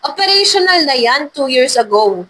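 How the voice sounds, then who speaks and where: A woman voicing a disbelieving, hurried male company boss in a dramatized story reading. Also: sits high, very animated, bright, forceful tone, exaggerated voice, low-quality recording.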